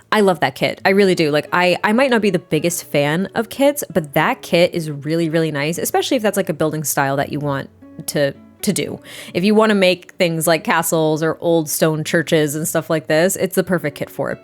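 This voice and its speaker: A young adult woman with a slightly hoarse, rough voice, chatting casually and rambling through a voiceover, sounding a little tired. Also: fairly low pitch. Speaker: Sims speed build creator.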